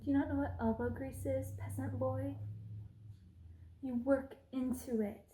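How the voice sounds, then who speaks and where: Woman playing a slow, haughty evil queen, mellow and menacing. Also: high-pitched, steady tone, reverberant, a little hiss or hum, poor audio. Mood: contemptuous, menacing. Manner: imperious, scornful, menacing, breaking into whispers. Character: cruel, haughty evil queen bullying a peasant. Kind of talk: ASMR roleplay.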